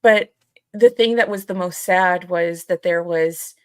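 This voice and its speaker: A woman co-hosting a casual podcast, talking hesitantly with halting phrases and frequent pauses. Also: fairly low pitch, clear, resonant tone, no room sound, clean studio sound. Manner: hesitant, halting, many pauses and "you know". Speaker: podcast co-host.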